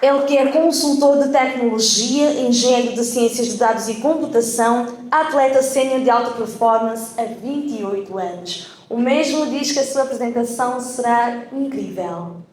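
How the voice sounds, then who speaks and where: A woman with a fairly high voice hosts a conference and introduces the next speaker. She sounds friendly and upbeat, and the large room gives her voice a strong echo. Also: normal speed, darkish tone. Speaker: event host. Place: conference venue.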